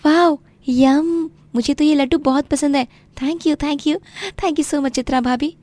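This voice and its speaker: A woman's voice: high voice, steady tone, slow pace, darkish tone, no room sound. A woman playing an earnest, outspoken young rich daughter who mixes English into her Hindi in a cartoon tale. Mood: grateful, delighted. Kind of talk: animated moral-story dramatisation.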